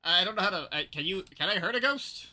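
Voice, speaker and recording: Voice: medium pitch, expressive, fast, pushed and bright. A live streamer giving casual game commentary in hesitant, joking asides.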